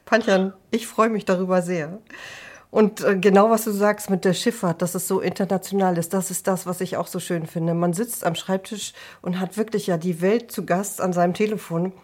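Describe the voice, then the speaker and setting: Husky woman host, effusive. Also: medium pitch, fairly even intonation, moderate pace, darkish tone. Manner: enthusiastic, effusive. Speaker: interview host. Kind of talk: friendly interview hosting.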